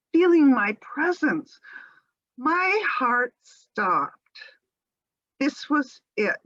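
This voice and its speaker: An actress performing a dramatic monologue as a lovesick woman, earnest and breathless, with theatrical pauses. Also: fairly high pitch, a bit bright, no echo, muffled recording. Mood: wistful, anxious. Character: lovesick woman nervously reunited with her beloved dog.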